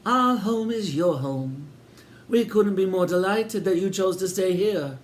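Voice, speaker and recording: A man voicing a falsely sweet old woman hotel keeper, sugary and drawn-out in a storybook reading. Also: high voice, slight background noise, pitched above the performer's own voice. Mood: gushing.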